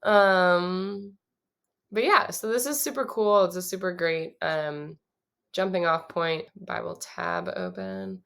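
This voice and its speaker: Low gravelly young woman thinking aloud. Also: slow pace. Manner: relaxed, thinking aloud with many ums. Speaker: songwriter. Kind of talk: casual songwriting vlog.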